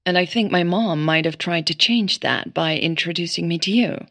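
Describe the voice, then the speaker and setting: A woman's voice: on the low side, moderate pace, a bit bright, dry recording, studio quality. A woman playing an awkward, earnest, chatty woman in an audio drama, hesitant in how she talks. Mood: awkward, sheepish.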